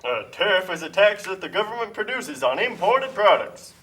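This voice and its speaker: A man voicing a pompous puppet-show pitchman for free trade, lecturing smugly like a salesman. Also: big pitch swings, normal speed, bright, forceful tone, recorded from a distance, heavily acted. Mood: smug, promoting. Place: community TV studio.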